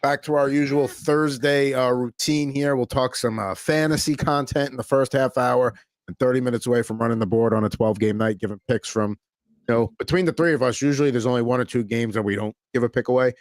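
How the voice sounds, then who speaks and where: A man's voice: mid-range voice, fairly even intonation, brisk, dry recording. An American man hosting a hockey podcast in casual chat, rambling with lots of fillers. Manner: rambling, filler-heavy, easygoing. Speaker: podcast host.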